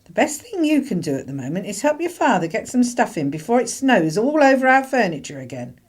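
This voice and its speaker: A woman's voice: a little high, big pitch swings, a little fast, slightly rough. A woman playing a practical, plain-speaking 1950s mother in a radio play. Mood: brisk, practical. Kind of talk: radio play acting and narration.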